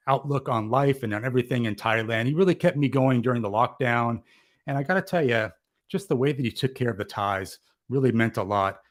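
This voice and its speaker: A man chatting casually as a live show host, with an enthusiastic, effusive way of talking. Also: mid-range voice.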